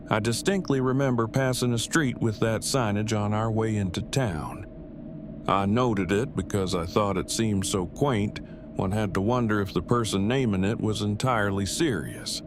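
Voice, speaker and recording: An actor playing Eugene, a verbose, bookish and affectionate apocalypse survivor, speaking formally and precisely in an audio drama. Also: male voice, fairly low pitch, unhurried, lightly acted. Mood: curious, measured. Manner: formal, wordy, precise diction. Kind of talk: fan audio drama acting. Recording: clearly noisy, with ambience behind.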